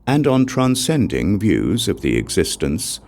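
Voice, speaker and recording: A man narrating a Buddhist scripture as an audiobook, reading in a measured, deliberate and formal way. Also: fairly low pitch, huge pitch swings, darkish tone.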